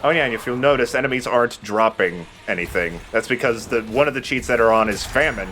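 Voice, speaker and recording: A man's voice: medium pitch, fast, sharp tone, a little hiss or hum. A man giving casual, rambling and opinionated video game commentary as the host of a let's play.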